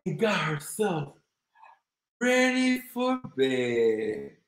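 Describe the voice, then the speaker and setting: An American man in his thirties reading aloud to young children as a storyteller, with a theatrical, drawn-out, exclaiming delivery. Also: fairly high pitch, sing-song. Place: small indoor room. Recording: roomy echo.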